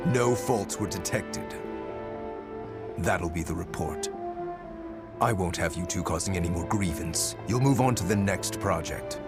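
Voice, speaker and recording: An actor playing an arrogant, scolding corporate boss in a video game, stern, curt and condescending. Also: male voice, low-pitched, unhurried, long pauses, loud music behind the voice. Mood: stern, dismissive. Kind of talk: dramatic video game cutscene acting.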